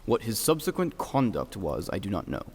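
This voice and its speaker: An actor playing a reserved, stern young clergyman in an audio drama, speaking formally with dry, measured delivery. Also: fairly low pitch, huge pitch swings, slightly rough, exaggerated voice. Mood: cool, deliberate. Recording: long hall-like reverb.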